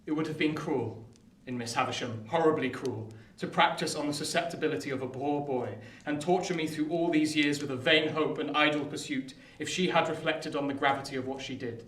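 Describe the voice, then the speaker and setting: A man acting an earnest young gentleman sick with love, in a radio drama. His bright, forceful voice pleads formally, heard a little far off in an echoing room. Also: on the high side, a little fast, hardly any pauses, natural voice. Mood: reproachful. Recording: echoey, distant, noisy room.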